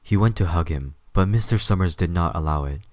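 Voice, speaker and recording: A man's voice: low voice, a little fast, darkish tone, no echo. A man reading scripted story narration as the narrator of an audio drama.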